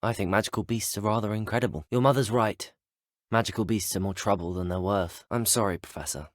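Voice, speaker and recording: A man's voice: fairly low pitch, fairly quick, no echo, clean studio sound, lightly acted. A young man with an English accent voicing a polite, eager student wizard in scripted video game dialogue. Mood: earnest, curious. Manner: polite, well-spoken, earnest.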